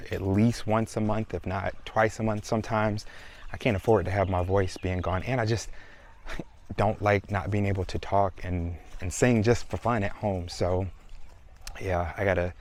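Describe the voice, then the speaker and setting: A man's voice: deep voice, a little hiss or hum. A man, chatting casually to his viewers in a vlog. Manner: chatty, relaxed, addresses viewers as y'all. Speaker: vlogger. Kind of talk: casual vlog talk to camera.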